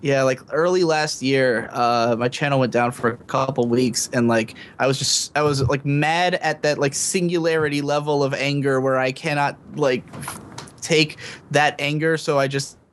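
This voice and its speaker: A man talks casually on a podcast in an average pitch. He rambles candidly and explains in detail. There is faint noise and rumble behind him. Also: fairly even intonation, moderate pace. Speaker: podcast guest panelist.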